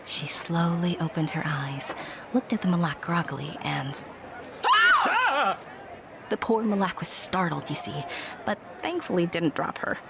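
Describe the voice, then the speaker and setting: A woman's voice: lowish voice, unhurried, gravelly, long pauses, heavy background noise. A woman narrating a scripted fantasy audio drama like a storybook, with chatty asides to the listener. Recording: loud music under the narration. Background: music.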